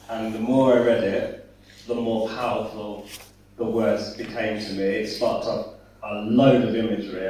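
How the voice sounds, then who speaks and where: A man, an illustrator, talking through his work on a conference panel in a relaxed, hesitant way with many pauses. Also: fairly low pitch, slight background noise. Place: conference hall. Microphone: distant room mic. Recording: echoey hall, distant mic.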